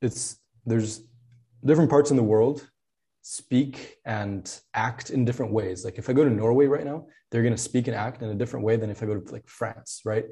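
A man's voice: medium pitch, moderate pace, mellow. A man in his twenties from Spain, speaking as a podcast guest, talking casually with many fillers, restarts and laughs. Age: 20s. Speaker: podcast guest, product designer. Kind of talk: casual interview chat.

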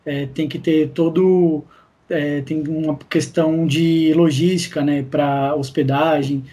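A man's voice: on the high side, flat delivery, takes it slowly, mellow. A Brazilian man chatting casually as a guest in a podcast interview. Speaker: podcast guest, audio drama creator.

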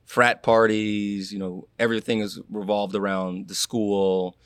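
A young man from Florida chats in a casual interview, his voice somewhat low and the sound dry and close. He tells his stories with energy, slipping into other people's voices as he goes, and laughs easily. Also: unhurried, smooth, no room sound. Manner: animated storyteller, acts out quotes, laughs. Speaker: guest, former college athlete.

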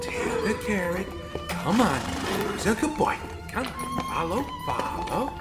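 Casting: actor as exasperated animal handler in an audio drama, very animated pitch, slow pace, loud music underneath. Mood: coaxing, exasperated.

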